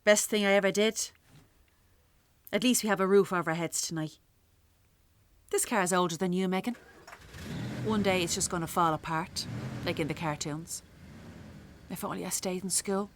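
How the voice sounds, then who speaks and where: A woman with an Irish accent playing a weary, sharp-tongued working-class mother in a radio drama, wry and blunt. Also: lowish voice, steady tone, normal speed, pauses often, lightly acted. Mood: wistful. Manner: wry, blunt, earthy talk. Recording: clearly noisy, traffic and ambience behind.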